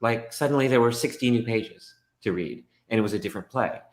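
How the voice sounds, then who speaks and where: A man, a stage actor and guest, talking through his work in a casual, animated way. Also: mid-range voice, a little fast. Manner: animated storytelling, reenacts his own thoughts. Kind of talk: casual conversation. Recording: echoey room.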